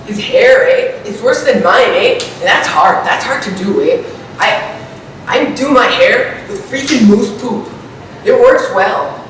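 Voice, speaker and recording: A man's voice: very high voice, lively intonation, a little slow, fairly bright tone, exaggerated voice. A man playing a silly, earnest Canadian backwoodsman with a Canadian accent, in improvised comic banter full of questioning tags. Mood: mock complaining. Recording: very echoey, distant, some background noise.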